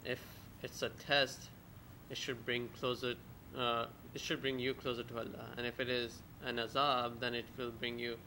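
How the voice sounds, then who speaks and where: Flat-voiced man asking tentatively. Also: mid-range voice, normal speed, hardly any pauses, a little hiss or hum, rough recording. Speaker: audience member asking a question. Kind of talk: asking a question.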